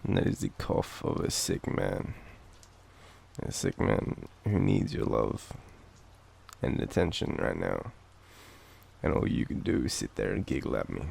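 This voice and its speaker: A young Australian man with a cold, coughing now and then, murmuring softly and teasingly in an intimate boyfriend roleplay. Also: very deep voice, big pitch swings, unhurried, creaky, slightly rough. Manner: soft, drowsy, teasing murmur.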